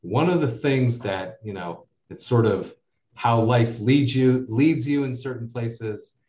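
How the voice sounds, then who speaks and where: A man's voice: mid-range voice, takes it slowly, a little mellow. A man from the United States with an American accent, chatting as an interview guest in a rambling way full of fillers and asides. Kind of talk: casual interview talk. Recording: echoey room, distant mic.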